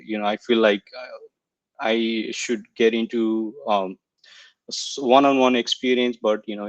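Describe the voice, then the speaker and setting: A man's voice: fairly low pitch, no echo. A young man talks over a video call, hesitating often and leaning on fillers while he gathers his thoughts. The sound is dull and lo-fi, and the room is dry. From Nepal. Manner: hesitant, searching for words, many fillers. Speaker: coaching client guest. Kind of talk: casual online interview talk.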